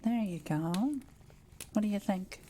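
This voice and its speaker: Kind forest witch played by a woman, intimate roleplay; soft mellow tone, hesitant and gentle, faint noise behind the voice. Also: mid-range voice, measured pace, close to an everyday voice. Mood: warm, hospitable. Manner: gentle, hesitant, softly teasing. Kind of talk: intimate audio roleplay, speaking to the listener.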